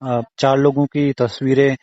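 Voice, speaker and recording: Female interviewer, very low pitch, dark mellow tone, questioning, dull phone-call audio. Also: level tone, measured pace, no echo. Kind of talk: questioning with short acknowledgements.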